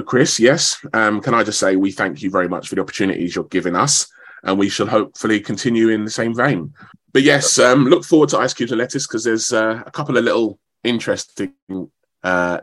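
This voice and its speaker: A man, talking as a guest in a radio interview in a modest, measured, slightly halting way. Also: on the low side, a bit bright. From London, England. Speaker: actor, radio show guest.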